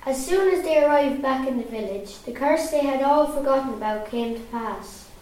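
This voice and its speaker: A woman with an Irish accent narrating a story at a steady storytelling pace. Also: high voice, moderate pace. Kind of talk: storytelling reading. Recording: very echoey room, far from mic.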